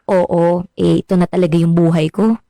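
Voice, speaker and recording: A friendly young Filipina marine biologist in a radio drama. Her voice is on the low side and the sound is dry, with no room around it. She talks in a casual, modest, dedicated way. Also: flat delivery, normal speed, creaky, dark tone, dry recording. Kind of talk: radio drama acting, conversational.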